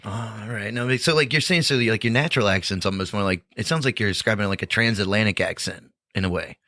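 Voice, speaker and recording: A man's voice: lowish voice, quick pace, pushed and bright, no echo, studio quality. A man hosting a podcast interview, asking rambling questions in casual chat. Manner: rambling, lots of fillers and restarts. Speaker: podcast host.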